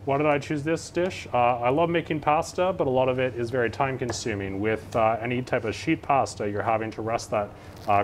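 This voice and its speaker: A man's voice: mid-range voice, a little hiss or hum. A young Canadian man, a student chef, explaining a dish during a live cooking demonstration with many "uh" fillers. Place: large teaching kitchen studio.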